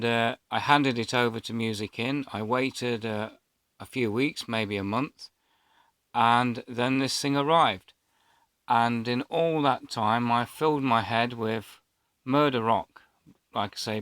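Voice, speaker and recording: A man's voice: mid-range voice, a bit bright, clear, resonant tone, dry recording. A middle-aged man telling a personal story casually and unscripted, in a hesitant, rambling way. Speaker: vlogger.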